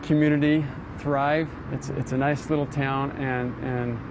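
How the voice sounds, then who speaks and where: A man giving an unscripted interview soundbite, halting and repeating words as he speaks. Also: medium pitch, little pitch movement. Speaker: interviewed local supporter. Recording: clear outdoor traffic noise.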